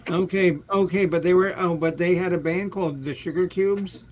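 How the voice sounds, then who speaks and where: A man hosting a casual music quiz show, teasing the players with quick playful asides. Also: high voice, fairly even intonation, muffled recording, slight background noise. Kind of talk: casual live-stream banter.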